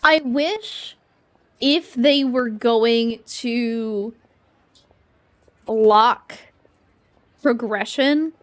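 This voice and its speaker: A woman's voice: a little high, extremely slow, long pauses, no echo. A woman gamer giving casual, amused, wry commentary on a game she is playing. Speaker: gaming streamer. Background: game music.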